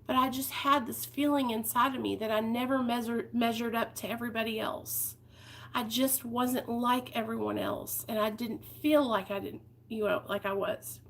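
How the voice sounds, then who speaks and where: A woman's voice: on the high side, steady tone, normal speed. A woman, talking candidly and heartfelt to her viewers in a personal vlog. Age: 30s. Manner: candid, heartfelt, rambling with fillers. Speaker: vlogger.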